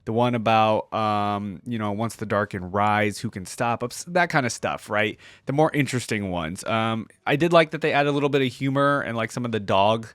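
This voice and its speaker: A man's voice: lowish voice, normal speed, hardly any pauses, fairly bright tone, no echo, clean studio sound. A man giving casual, musing reaction commentary on a game as the host.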